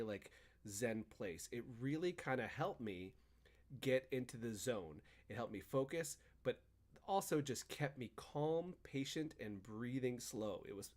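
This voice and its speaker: A male podcast host with a very lively, mid-pitched voice. He talks casually and emphatically, mixing chatty banter with a loose promotional read.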